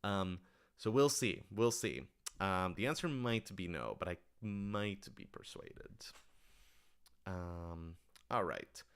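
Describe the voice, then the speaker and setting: A man's voice: sits low, lively intonation, slow, smooth. A man talking casually on a livestream Q&A, thinking aloud in a rambling, enthusiastic way. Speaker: writer-director of audio dramas answering questions.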